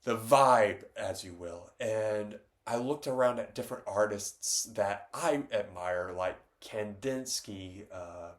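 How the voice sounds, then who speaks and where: A man, congested from a stuffy nose, talking loosely about his own artwork, with many pauses and restarts. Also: on the low side. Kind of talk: casual reflective talk to camera.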